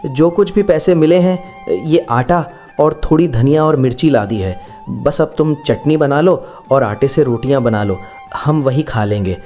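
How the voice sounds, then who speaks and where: A man's voice: on the high side, a little slow, darkish tone, faint music behind, lightly acted. A man voicing a poor, disheartened woodcutter in a children's cartoon moral story. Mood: weary, worried. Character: poor, worried woodcutter husband and son, disheartened. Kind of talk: animated moral story dialogue in Hindi.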